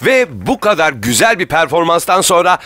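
A man playing an excited announcer at a school stage show in a cartoon. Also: a little high, brisk. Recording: loud crowd noise over voice.

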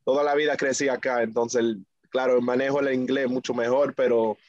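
A man's voice: mid-range voice, fairly quick, dry recording, highs cut off. A young man raised in the United States by a Dominican family, answering interview questions casually on a video call, with frequent fillers and easy laughs. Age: young adult. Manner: relaxed, laughs easily, many fillers and restarts. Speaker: guest musician. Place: small indoor room, video call.

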